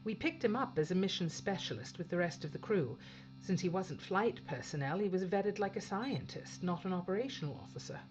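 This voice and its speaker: A tough female starship captain, played by an actress, pitched fairly low with lively swings. She is blunt and authoritative, in sci-fi audio drama style. The recording is slightly muffled. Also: a little fast, soft background music, lightly acted. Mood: wary, determined. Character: tough, commanding female captain of a failing spaceship. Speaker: actor playing a ship's captain.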